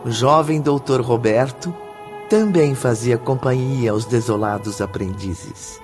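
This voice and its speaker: A male narrator tells a radio drama solemnly from a script. He takes his time in a mid-range voice, with music clearly behind him. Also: mid-range voice, a little slow, a little mellow.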